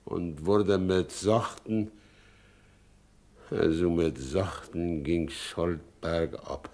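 A man playing a good-natured, broken, aging carter in a stage drama, brooding and guilt-ridden, with halting, rustic, repetitive speech. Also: low-pitched, fairly even intonation, a little mellow, full character voice.

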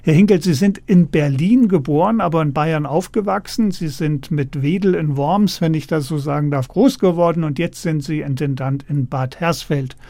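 A man's voice: a little high, normal speed, hardly any pauses, dark tone, dry recording. A man hosting a cultural radio interview, putting questions in a conversational way.

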